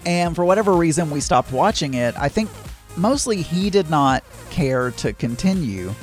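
A man with a Southern US accent talks casually to his viewers, drifting from one thing to the next and correcting himself mid-sentence. His voice sits a little high for a man. Soft music plays faintly behind him. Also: lively intonation, moderate pace. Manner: rambling, chatty, frequent self-corrections. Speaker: vlogger. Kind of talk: casual chat.

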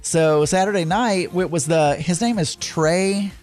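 A man with a Southern US accent telling a meandering, chatty story about his week, stopping often to correct himself and fill pauses. His voice sits a touch higher than most men's. Soft music plays faintly behind him. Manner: rambling, self-correcting storytelling with frequent fillers. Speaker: podcast host. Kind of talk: casual chat.

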